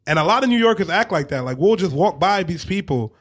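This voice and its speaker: A young man, chatting casually on radio in a slangy, expressive, emphatic way. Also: sits high, fast talker. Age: young adult.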